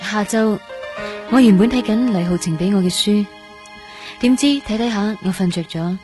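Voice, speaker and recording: An actress playing a dreamy, gently stubborn young female patient in a radio drama. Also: mid-range voice, moderate pace, music in the background, lightly acted. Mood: uneasy, dreamy. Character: young woman recovering from heart transplant, dreamy, gently stubborn. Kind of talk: Cantonese radio drama acting with first-person narration.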